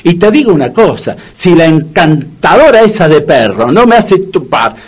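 Husky Argentine grump. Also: male voice, fairly high pitch, big pitch swings, normal speed. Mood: bitter, grumbling. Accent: Rioplatense Argentine. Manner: whining, grumbling, protesting. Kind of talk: comedic radio play acting.

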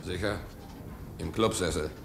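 Radio play role: a fatalistic engine-room sailor, very low and dry, resigned cynicism, slight noise behind. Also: male voice, a little slow, gravelly. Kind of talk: German radio play acting.

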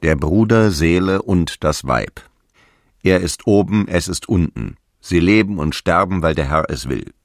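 An actor playing Paul the apostle, a stern zealot preaching in solemn, archaic radio drama language. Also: male voice, sits low, lively intonation, measured pace, dry recording, clean studio sound. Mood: solemn, admonishing. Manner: grave, preaching, declamatory.

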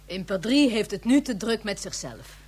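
A woman's voice: mid-range voice, expressive, moderate pace, darkish tone, slightly rough. A woman playing an earnest, reassuring space traveller in a Dutch science fiction radio play.

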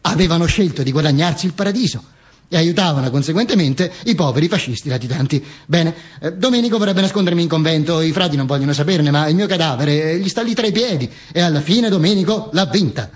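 Man acting a high-pitched, theatrical dead dictator. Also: expressive, close to an everyday voice. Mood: urgent narration. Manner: emphatic, obsessively repetitive, deliberate pauses. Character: dead Mussolini telling his posthumous autobiography, theatrical and ironic. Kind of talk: dramatic monologue storytelling, radio theatre.

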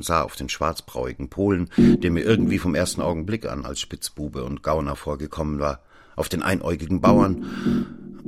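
A man playing a stern investigating judge who narrates the story in the first person, measured and descriptive. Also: a bass voice, dry recording. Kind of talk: scripted radio drama narration. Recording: studio radio play with faint music.

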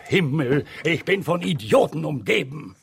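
An actor playing a gruff, pompous middle-aged newspaper editor in a radio drama, raspy and easily exasperated. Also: male voice, fairly high pitch, expressive, measured pace, exaggerated voice. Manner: exasperated, pompous, opinionated, stammering in thought. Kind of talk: German radio drama acting.

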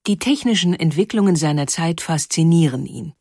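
A woman narrating a scripted historical documentary in a measured, clearly phrased storytelling manner. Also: sits low, animated, darkish tone, no room sound, clean studio sound. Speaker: narrator of a historical audio feature.

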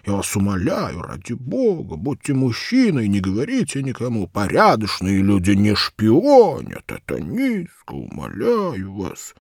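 A man's voice: lowish voice, wildly swooping pitch, unhurried, hardly any pauses, a little mellow, dry recording, studio quality, full character voice. Man playing a trembling, pleading young governess. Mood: desperate pleading. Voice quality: trembling, breathy half-whisper.

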